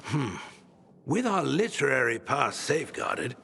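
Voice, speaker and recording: A man's voice: mid-range voice, very animated, measured pace, creaky, pushed and bright, long reverb tail, slight background noise, exaggerated voice. An actor playing a grandiose, theatrical old male scholar who speaks in pompous, flowery phrases. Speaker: book-collecting patron.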